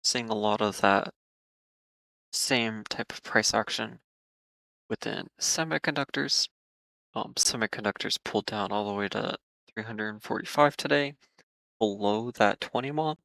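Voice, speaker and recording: Man, gravelly low voice, hoarse from losing it, market commentary, dry close sound. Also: sharp tone, no room sound, poor audio. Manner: measured, cautious, with frequent ums and repeated words. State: losing his voice. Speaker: market analysis host.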